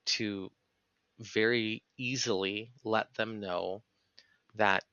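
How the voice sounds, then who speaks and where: A man's voice: lowish voice, fairly even intonation, very slow, pushed and bright, dry recording, highs cut off. A man speaking as a podcast guest in reflective conversation, explaining things earnestly and analytically in long sentences.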